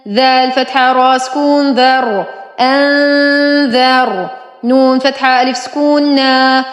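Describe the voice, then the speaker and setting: A woman teacher reciting a spelling drill, sounding out each syllable deliberately with drawn-out enunciation. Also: high-pitched, steady tone, reverberant, in a large hall, faint music behind. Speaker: Quran reading teacher. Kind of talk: slow spelling-out Arabic phonics drill.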